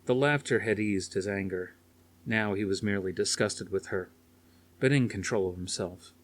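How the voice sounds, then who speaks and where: A man's voice: fairly low pitch, moderate pace. A man reading a dramatic story aloud as scripted audiobook narration. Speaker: audiobook reader.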